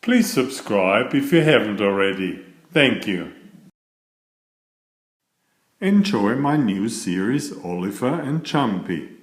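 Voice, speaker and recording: A man reads a children's picture book aloud at an unhurried pace. His pitch moves around a great deal as he narrates. The recording has a noticeable room echo. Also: very animated. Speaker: storyteller and children's author. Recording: roomy echo.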